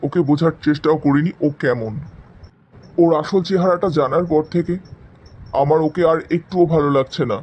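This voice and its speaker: A man's voice: a little high, moderate pace, muffled recording, natural voice. A man playing a hurt, resolute young man in a cartoon drama, speaking in an emphatic, accusing way. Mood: bitter, firm. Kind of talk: dramatic animated story dialogue.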